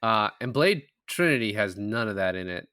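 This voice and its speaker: Male co-host, lowish bright voice, close dry sound, casual film talk. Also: animated, moderate pace, clear, resonant tone, no room sound. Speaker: podcast co-host.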